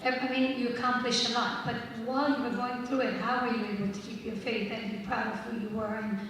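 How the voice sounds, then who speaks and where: A young woman asks a question from the audience in a polite, slightly hesitant way. Her voice sits a little high. She sounds distant, in a large hall with a long echo. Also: level tone, fairly quick, a bit bright. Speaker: student in the audience asking a question. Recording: echoey hall from a distance, faint noise.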